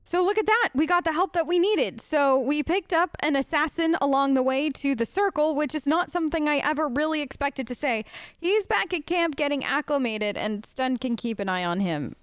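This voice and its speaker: A woman's voice: high-pitched, fairly bright tone, dry recording, studio quality. A woman doing casual, chatty role-play commentary as a let's play host.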